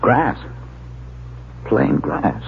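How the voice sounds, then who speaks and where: An actor playing a bitter, fatalistic doctor in a 1950s radio drama, with a gravelly voice and dry, deliberate, sardonic delivery. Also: male voice, medium pitch, wildly swooping pitch, full character voice. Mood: detached, curious. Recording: noisy, tinny 1950 radio recording with hum.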